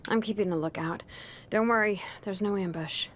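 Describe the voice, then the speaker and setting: A woman's voice: mid-range voice, moderate pace, fairly bright tone, slight background noise, natural voice. A woman voicing a watchful, teasing, easygoing young adventurer in a video game. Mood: relaxed, teasing.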